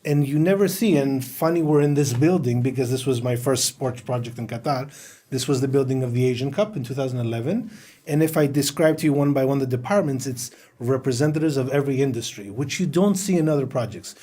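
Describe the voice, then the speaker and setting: A middle-aged man who grew up in Greece, a podcast guest telling anecdotes in a relaxed interview chat. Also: medium pitch, brisk. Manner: storytelling, anecdotal, laughs while talking.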